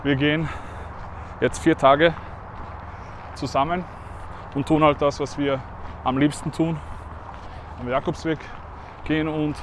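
A man's voice: on the high side, steady tone, measured pace, pauses often, slight background noise. A man in his thirties hosting a casual outdoor interview while out on a hike. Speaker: vlog host and interviewer. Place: outdoors on a mountain valley hike and at a garden table.

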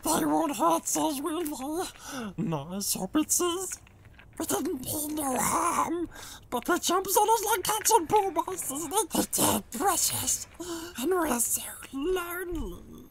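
A man voicing a small, wretched cave creature who whines and wheedles in a croaky voice, in a dramatised fantasy story. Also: very high-pitched, lively intonation, slow, a crowd in the background, voice pushed up, exaggerated voice. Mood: whimpering, pleading. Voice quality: croaky, whining. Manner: whimpering, hissing, self-pitying, odd grammar.

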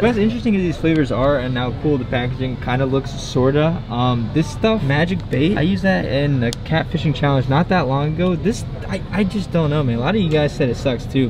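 A young man, chatting casually and rambling as he vlogs to a handheld camera. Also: on the high side. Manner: chatty, rambling, laughs at himself. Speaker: fishing channel host. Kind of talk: casual vlog talk to camera. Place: store aisle, then outdoor lakeside park. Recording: background music.

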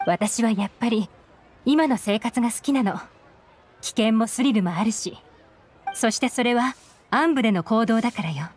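A woman's voice: fairly high pitch, normal speed, long pauses, darkish tone, lightly acted. A woman voicing a shrewd, secretive young intelligence agent in an anime game, composed, knowing and slightly teasing.

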